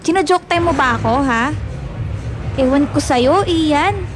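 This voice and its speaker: Young pinay student in a radio drama, high voice, serious n scoldy, taglish, bit of music under. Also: female voice, lively intonation, a little slow. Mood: annoyed, scolding. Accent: Filipino. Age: young adult. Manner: indignant, mixes Tagalog and English. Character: earnest, scolding young female scholarship nursing student. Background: faint music.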